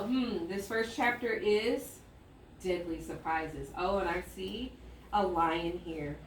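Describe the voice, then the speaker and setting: A roomy, slightly noisy recording catches a woman at some distance from the mic. She is an elementary teacher leading a read-aloud for children. She muses out loud as she goes and keeps checking that her listeners follow. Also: medium pitch. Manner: thinking aloud with musing hums and exclamations. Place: classroom with echo.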